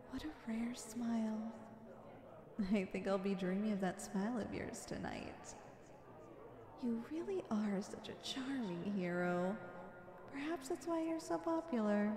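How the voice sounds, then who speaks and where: A woman's voice: mid-range voice, natural voice. A woman playing a flirty, confident female supervillain in an ASMR roleplay, coy and teasing as she sweet-talks the listener. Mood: fond, flirty. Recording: echoey with long reverb.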